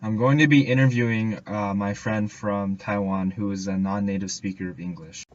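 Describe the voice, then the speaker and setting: Male student narrator, low voice, reads a scripted report, slightly dull audio. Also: steady tone, normal speed. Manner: reading a school report aloud. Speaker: student presenter.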